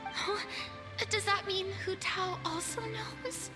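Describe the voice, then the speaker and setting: A woman's voice: very high-pitched, fairly even intonation, slow, over music. A woman playing a refined, easily flustered young female celebrity in a video game, speaking formally and theatrically. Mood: nervous. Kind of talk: English video game voice acting.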